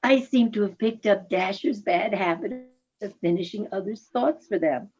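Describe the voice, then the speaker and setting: A woman playing a gentle, affectionate reindeer wife in a genteel radio play style. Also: mid-range voice, moderate pace, lightly acted. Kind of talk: reader's theatre radio play acting. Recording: dull, muffled recording.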